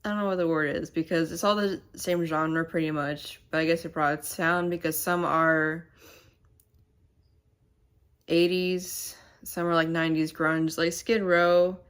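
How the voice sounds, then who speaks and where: A woman sits at home chatting straight into her camera mic, her voice low and a little stuffy and nasal. She rambles, pausing and hunting for words as she goes. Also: flat delivery, smooth. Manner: rambling and halting, many fillers. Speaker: channel host. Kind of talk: casual to-camera vlog chat.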